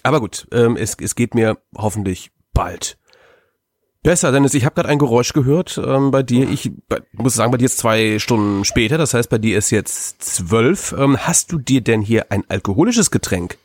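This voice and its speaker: A man's voice: mid-range voice, lively intonation, normal speed, dry recording. This man co-hosts a podcast while he has a cold, and his nose sounds stuffed up. His talk rambles, with many fillers. The sound is dry and close to the mic. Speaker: podcast co-host. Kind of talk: casual chat.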